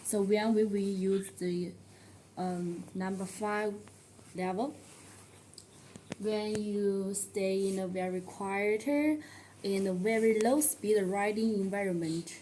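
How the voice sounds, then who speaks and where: A woman who speaks English as a second language, presenting a product tutorial in a halting, step-by-step way. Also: mid-range voice, steady tone, dark tone, a little hiss or hum. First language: not English. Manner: halting, step-by-step delivery with non-native phrasing.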